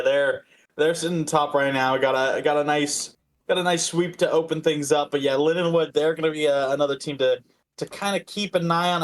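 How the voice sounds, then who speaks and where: A man co-hosting a sports podcast, giving casual analysis and often repeating words as he thinks. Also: a little high. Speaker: podcast co-host.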